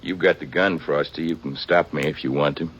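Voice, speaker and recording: A conscience-stricken cowboy who stands his ground in a radio western. The voice is very low, earnest and firm. It comes through a dull old broadcast recording with faint noise. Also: steady tone, a little fast, a bit bright. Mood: resolute remorse. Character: remorseful, resolute cowboy standing up to gunman. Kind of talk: 1950s radio western acting.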